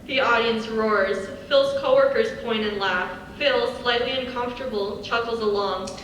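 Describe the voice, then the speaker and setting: A woman's voice: on the high side, moderate pace, fairly bright tone. A young woman reading stage directions as scripted narration for a live dramatic reading. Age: young adult. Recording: distant echoey hall, faint noise.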